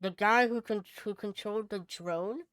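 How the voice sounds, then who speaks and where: A woman co-hosting a casual podcast, chatting and reading out news items, somewhat halting when she reads aloud. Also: medium pitch, fairly bright tone, clean tone, dry recording. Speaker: podcast co-host.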